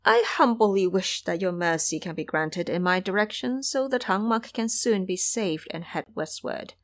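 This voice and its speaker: A woman playing a brash, excitable male monkey disciple who speaks in formal, emphatic storybook language, cheeky but pleading. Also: medium pitch, normal speed, close to an everyday voice.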